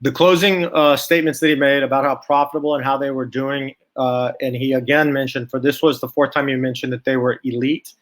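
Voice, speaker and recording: A man's voice: medium pitch, fairly even intonation, a little fast, hardly any pauses, fairly bright tone. A man giving informal solo stock market commentary, thinking aloud from notes in a blunt, skeptical, opinionated way.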